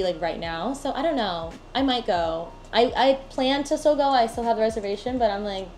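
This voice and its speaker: A woman's voice: a little high, darkish tone. A woman in her late twenties talking to the camera at home in a casual, reflective vlog, with many "like" fillers. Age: 20s. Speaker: vlogger. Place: at home indoors.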